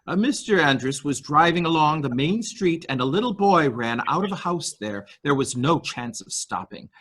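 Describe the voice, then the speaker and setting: A performer playing a polite, hesitant servant loyal to the employer, with a husky voice, in a dramatic murder mystery reading. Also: mid-range voice, lively intonation, fairly bright tone. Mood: earnest, defensive. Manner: halting, polite, deferential.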